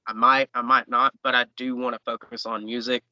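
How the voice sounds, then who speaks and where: A man's voice: medium pitch, flat delivery, a little fast, sharp tone, clean tone, no room sound, highs cut off. A man, a wrestler chatting easygoing in an interview, rambling with fillers. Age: 20s. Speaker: professional wrestler guest. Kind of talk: casual interview chat.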